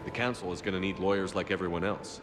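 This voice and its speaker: A man acting as a steady military commander in a game cutscene, with a low voice. He is terse and dryly wry, with music or ambience running behind him. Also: quick pace, natural voice. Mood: cool, probing. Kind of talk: video game cutscene dialogue.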